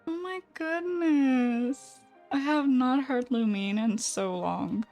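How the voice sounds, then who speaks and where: Soft, mellow, unhurried woman commentator. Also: high-pitched, lo-fi sound, soft background music. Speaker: gaming video commentator. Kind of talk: cozy, quiet let's-play commentary.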